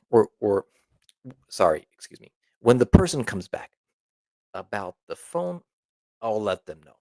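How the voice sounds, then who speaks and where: A man's voice: lowish voice, creaky, darkish tone, dry recording. A man teaching an informal language lesson, explaining example phrases conversationally and often checking in with the listener.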